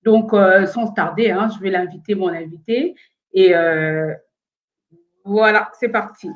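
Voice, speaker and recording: A woman's voice: mid-range voice, measured pace, highs cut off. A woman from France of Congolese origin hosts a casual live stream from home, hesitant and full of fillers while she fights sound problems.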